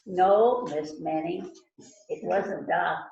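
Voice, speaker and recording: A woman playing a smug, stern police detective in an amateur radio-style mystery, speaking deliberately and knowingly. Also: on the low side, gravelly, darkish tone, highs cut off. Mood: accusing. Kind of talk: amateur readers theater rehearsal. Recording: roomy, echoing room sound.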